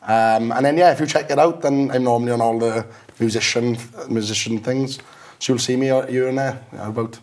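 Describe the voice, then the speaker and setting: A man in his twenties from Wales with a naturally rough voice, a guest singer chatting haltingly and trailing off. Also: mid-range voice, steady tone. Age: 20s. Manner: halting, soft-spoken, trailing off. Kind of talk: casual interview chat.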